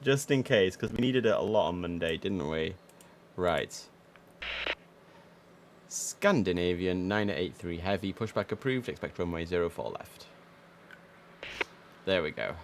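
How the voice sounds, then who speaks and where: A young man chats through a live stream in a fairly low voice, eager and full of ums and restarts. He sounds a little sniffly from hay fever, with a faint hiss of background noise. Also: animated, unhurried, long pauses. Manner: chatty and enthusiastic, many fillers and self-corrections. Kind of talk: casual live-stream commentary.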